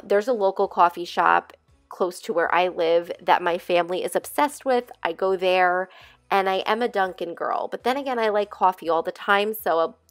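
A woman's voice: mid-range voice, fairly bright tone, no echo, clean studio sound. A woman with a nasal voice, getting over a cold, talking through a craft tutorial in a chatty, rambling voice-over. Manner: chatty, rambling, friendly asides. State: recovering from a cold. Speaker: DIY craft channel host.

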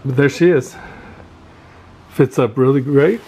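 A man giving a casual, unscripted hands-on gear walkthrough as a vlogger, pausing often mid-phrase. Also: medium pitch. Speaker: vlogger showing fishing gear. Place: indoor garage workshop. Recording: steady background noise, room ambience.

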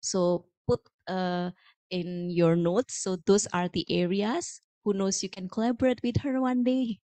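A woman introduces a guest speaker, reading a prepared bio with small asides. Her voice sits at a middle pitch. The sound is dry and close. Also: fairly even intonation, unhurried, mellow, no room sound. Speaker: moderator. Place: lecture hall.

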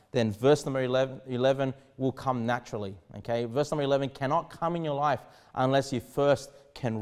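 A man in his thirties, a pastor preaching a sermon in earnest, with sudden emphatic rises and quiet drops. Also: mid-range voice. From Australia. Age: 30s. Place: small church studio behind a pulpit desk.

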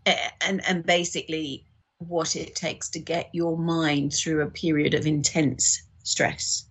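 A woman's voice: sits low, flat delivery, measured pace, fairly bright tone, husky. A woman guest on a podcast, reflectively discussing literature and tentatively thinking aloud as she goes.

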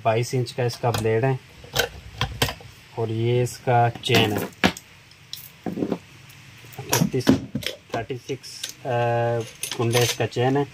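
Man, flat voice. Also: medium pitch. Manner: plain, unhurried explaining while working with his hands. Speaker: tool shop seller demonstrating a chainsaw. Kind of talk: step-by-step product demonstration. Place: indoor tool shop counter. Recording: noisy shop recording.

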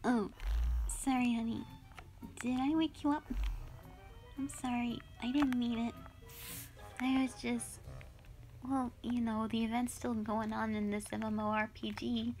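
A young woman playing a sulky, dramatic tsundere gamer girlfriend in an audio roleplay, with a whiny, pouty, drawn-out delivery. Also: a little high, level tone, a little mellow, soft background music, poor audio. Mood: sheepish. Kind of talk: roleplay audio drama, intimate one-sided dialogue.

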